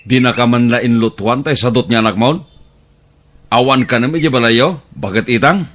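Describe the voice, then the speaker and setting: A man playing a compassionate, outspoken villager in an audio drama, talking in an expressive, chiding way. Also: medium pitch, normal speed, lightly acted. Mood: concerned, scolding.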